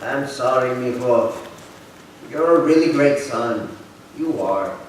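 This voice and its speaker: Man playing an animated old grandfather, echoey. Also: medium pitch, slow. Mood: affectionate. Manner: storytelling, sprinkled with Spanish. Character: fond, animated old Mexican immigrant grandfather. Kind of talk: staged reading of a play. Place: arts center stage, live performance. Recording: echoey hall, distant mic, faint noise.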